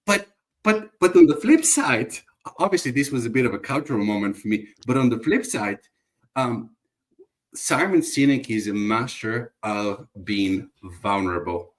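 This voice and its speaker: A man's voice: medium pitch, expressive, moderate pace. Earnest male guest from Bulgaria. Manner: reflective, earnest, stumbling restarts. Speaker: guest entrepreneur. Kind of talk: casual conversational interview.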